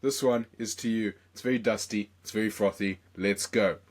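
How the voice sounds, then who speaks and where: Hoarse young South African male podcast host, excitable and rambling. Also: fairly low pitch, moderate pace. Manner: excitable, rambling, many fillers. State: hoarse from a chest infection. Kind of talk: casual podcast chat.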